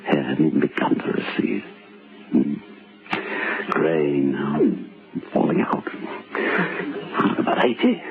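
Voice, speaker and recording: An actor playing a brooding, self-pitying middle-aged husband who mutters haltingly in an old radio drama. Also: male voice, rumbling low, huge pitch swings, heavily acted. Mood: bitter brooding. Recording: noisy, tinny vintage radio recording.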